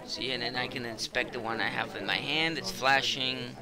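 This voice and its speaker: A man's voice: mid-range voice, fairly even intonation, moderate pace, a crowd in the background, low-quality recording. A man with a cold, casually commenting on his own gameplay while he plays, rambling with lots of fillers. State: hoarse from a cold.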